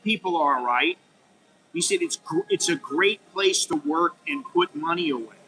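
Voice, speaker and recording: A man's voice: fairly high pitch, lively intonation, normal speed, pushed and bright, slight background noise, low-quality recording. A middle-aged American man talking frankly in a rambling, unscripted monologue, full of restarts and "you know.". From United States. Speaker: vlogger.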